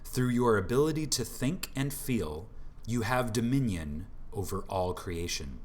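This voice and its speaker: A man reading an audiobook from a script in an emphatic, deliberate manner, with dramatic pauses for effect. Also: fairly low pitch, expressive. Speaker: audiobook narrator.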